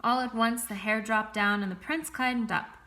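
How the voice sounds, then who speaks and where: Bright-voiced woman reading a fairy tale. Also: on the high side. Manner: clear, expressive, measured. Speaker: storyteller.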